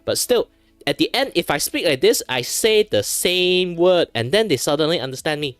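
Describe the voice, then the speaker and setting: Man, 30s, from Malaysia, native Chinese speaker, casual chatty streamer, lively pitch, dry close sound. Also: normal speed, no echo, soft background music. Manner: chatty, filler-heavy, laughs often. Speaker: streamer and aspiring voice actor. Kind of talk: casual chat while drawing on stream.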